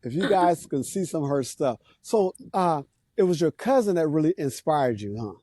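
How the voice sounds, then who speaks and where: Mellow-voiced man hosting outdoors. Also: fairly high pitch, normal speed, dry recording, studio quality. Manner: relaxed and chatty, repeats words, laughs along. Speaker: show host. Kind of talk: casual live interview. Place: outdoors near an airport.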